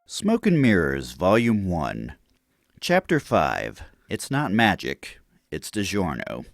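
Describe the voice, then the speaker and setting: An actor playing a solemn, dramatic announcer reading titles for an audio drama. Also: male voice, low-pitched, wildly swooping pitch, takes it slowly, soft background music, exaggerated voice.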